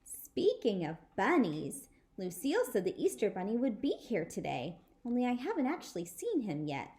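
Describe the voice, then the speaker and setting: Lady doing an excitable little schoolgirl from a storybook, blunt and dramatic, childlike way of talking. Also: mid-range voice, normal speed. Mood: chatty, eager.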